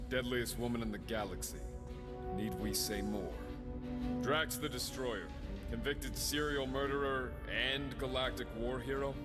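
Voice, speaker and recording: An actor playing a stern, officious space-police guard in a game, delivered deadpan. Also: male voice, medium pitch, close to an everyday voice. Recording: loud game music behind.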